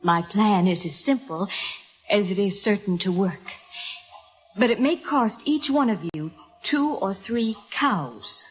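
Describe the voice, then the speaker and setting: A muffled vintage radio recording of a determined young colonial woman. Her voice is husky and she speaks with bold, earnest theatrical feeling. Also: mid-range voice, lively intonation, unhurried, soft background music. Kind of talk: old-time radio drama acting. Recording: dull old-time radio recording.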